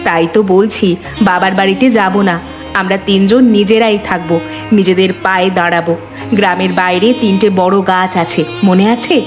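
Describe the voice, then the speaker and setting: A woman playing a resolute, sorrowful and protective eldest daughter-in-law in a cartoon drama, speaking gravely and reassuringly. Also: mid-range voice, steady tone, normal speed, close to an everyday voice. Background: music.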